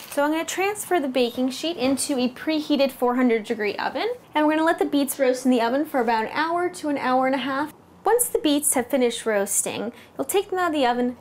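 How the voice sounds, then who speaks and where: A woman's voice: sits high, slight background noise. A woman in her thirties with an American accent, demonstrating a recipe to camera step by step as a cooking host. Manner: clear step-by-step explaining. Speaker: cooking host, food blogger. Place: home kitchen, indoors.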